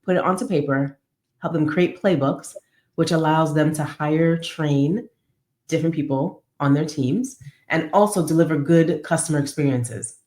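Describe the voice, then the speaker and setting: A woman's voice: low-pitched, moderate pace, darkish tone. A woman with an American accent telling her story casually as a guest in a podcast interview. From United States. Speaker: podcast guest, business owner.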